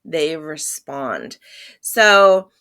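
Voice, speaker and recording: A woman's voice: sits low, very animated, drawn-out words, pushed and bright. A woman speaking as a holistic healer guest in an interview, emphatic and repeating her key phrases. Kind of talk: conversational interview.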